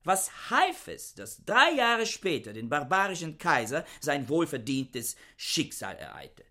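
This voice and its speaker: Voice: mid-range voice, sing-song, measured pace, bright, forceful tone, full character voice. A performer in a German radio play voicing the solemn echo of a fanatical scholar's thoughts in incantatory, theatrical declamation. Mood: grave.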